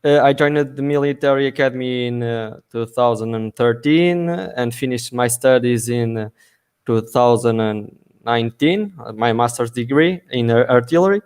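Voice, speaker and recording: A man's voice: mid-range voice, moderate pace, darkish tone, clear, resonant tone. A man, a guest answering interview questions over a video call, hesitant and full of fillers. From Portugal. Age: 20s. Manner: hesitant, many fillers and restarts. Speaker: guest, army officer.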